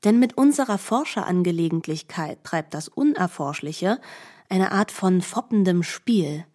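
A woman narrating a literary radio play as a composed storyteller, with calm, deliberate phrasing. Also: on the low side. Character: composed female storyteller of an epic.

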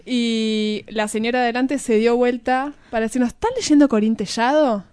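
A woman's voice: fairly high pitch, steady tone, normal speed, darkish tone, clear, resonant tone, no room sound, clean studio sound. A woman with a Rioplatense Argentine accent chatting casually as the host of a talk show. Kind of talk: casual panel chat.

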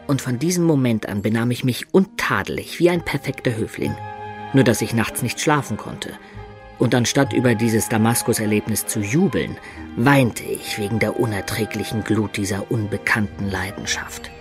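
A male actor in a radio drama playing an earnest, lovestruck adventurer of peasant birth, telling of his youth in measured, lyrical words. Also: lowish voice, over music, lightly acted. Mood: wistful, reminiscing. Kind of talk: dramatic first-person narration in radio play.

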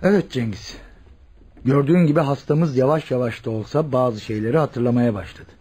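A methodical senior male doctor in a radio play, acted by a man. His voice is mellow and strongly husky, at a middling pitch. He instructs and questions in a measured, authoritative manner. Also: lightly acted. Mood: calm, probing. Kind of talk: radio drama acting.

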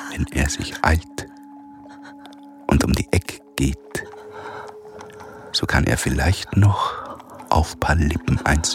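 A man's voice: extremely low pitch, exaggerated voice. A man playing a pompous, anxious, moralizing army captain in a radio play, brooding and often half-whispering. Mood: sly, hushed. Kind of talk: theatrical German radio drama acting.

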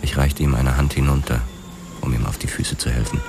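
A man's voice: very deep voice, steady tone, fairly quick. An actor playing a weary, hard-boiled policeman who narrates his own past in a crime radio drama. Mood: somber recollection. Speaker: first-person narrator. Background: music.

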